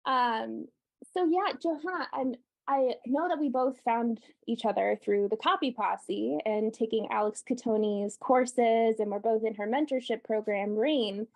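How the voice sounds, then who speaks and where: A woman's voice: on the high side, steady tone, normal speed, vocal fry, a little mellow. A woman from the US co-hosting a casual chat, upbeat and often laughing. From United States. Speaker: podcast co-host.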